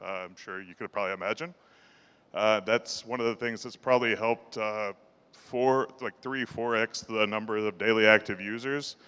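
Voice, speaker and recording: A man with a low voice giving his first conference talk, hesitant and full of fillers, holding the mic close. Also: steady tone, normal speed, sharp tone, clear, resonant tone, big-room sound. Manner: hesitant, many fillers and restarts. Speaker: conference speaker. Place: large conference hall. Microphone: handheld mic held close.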